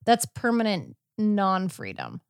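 A woman hosts a podcast in a chatty, informal style. Her voice sits at a middling pitch for a woman. The sound is dry and close, and she retells events conversationally, as if to a friend. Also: huge pitch swings, takes it slowly, clear, resonant tone, dry recording. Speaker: podcast host. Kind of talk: casual conversational recap of a court case.

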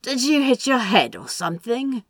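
A woman's voice: a little high, big pitch swings, normal speed, a bit bright, no echo, pitched above the performer's own voice, exaggerated voice. A woman voicing a tired, blunt young man who swears and speaks plainly. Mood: gruff.

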